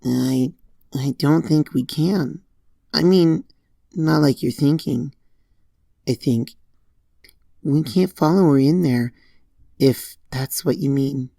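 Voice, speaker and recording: A woman's voice: low voice, slow pace, vocal fry, pauses often, mellow, no echo, full character voice. A woman voicing a grave, worried female pony in a dramatic horror fan fiction reading. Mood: uneasy.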